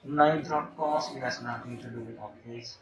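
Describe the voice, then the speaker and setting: A man's voice: medium pitch, steady tone, normal speed, crowd noise. A man giving casual, hesitant video game commentary, thinking aloud in an echoey room. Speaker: video game let's player.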